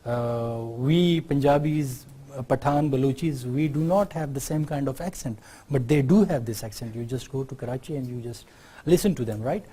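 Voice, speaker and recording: A middle-aged man with a Pakistani accent giving an unscripted university lecture, often pausing on fillers and checking in with "right?". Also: mid-range voice, mellow, breathy edge. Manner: unscripted explaining, many fillers, tag questions like "right?".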